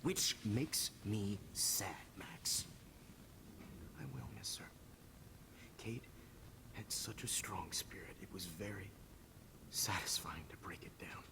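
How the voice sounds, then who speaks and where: An actor playing a smug, menacing teacher and photographer villain in a video game, with a calm, condescending, gloating delivery. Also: male voice, lowish voice, slow, gravelly, long pauses, in a whisper, rough recording. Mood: gloating, sinister. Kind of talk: dramatic video game acting.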